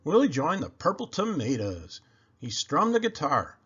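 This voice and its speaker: Male storybook narrator, bright, slightly husky, highly animated pitch, expressive, a little lo-fi. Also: normal speed. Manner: expressive, emphatic. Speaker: children's book reader.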